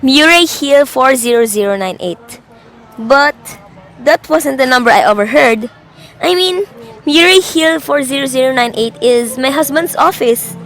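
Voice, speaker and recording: A woman's voice: sits high, measured pace, a bit bright, poor audio. A young woman playing an anxious, demanding invalid wife in a suspense radio drama, with a fretful and indignant manner. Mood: anxious, insistent. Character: anxious, demanding, self-important invalid wife, easily exasperated.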